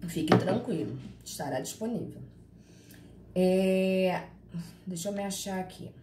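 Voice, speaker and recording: A woman from Rio de Janeiro with a Carioca accent, giving a law class online and chatting with her students in a lively, teasing way. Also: mid-range voice, measured pace, background noise. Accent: Carioca Portuguese. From Rio de Janeiro, Brazil. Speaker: law lecturer. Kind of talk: live online class, reading chat questions. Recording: echoey room with faint ambience.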